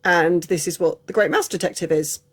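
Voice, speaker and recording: A woman's voice: fairly low pitch, moderate pace. An English woman chatting casually through an unscripted film review, frank and prone to digressions. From England. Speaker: film reviewer, channel host.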